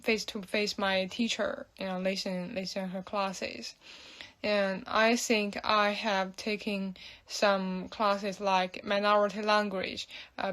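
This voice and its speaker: A young woman from mainland China with a Chinese accent, answering casually and haltingly as she searches for words. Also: mid-range voice, a bit bright. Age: young adult. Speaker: student. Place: indoor room.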